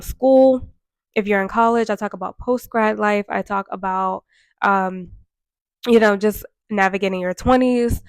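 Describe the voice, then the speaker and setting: A woman in her mid-twenties talks straight to camera, a bit nasal and worn out from a cold. Her delivery is earnest and chatty, full of fillers, and stays fairly flat in pitch. The recording is close and dry, with no room sound. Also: no echo. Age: 20s. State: congested from a cold, tired. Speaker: vlogger. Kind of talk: casual talk to camera. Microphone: microphone.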